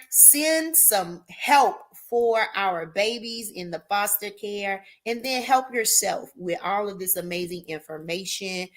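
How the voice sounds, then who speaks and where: A woman hosting a live talk show, loudly hyping her audience and calling guests "queen". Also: mid-range voice. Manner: hypes the audience with "y'all" and "queen".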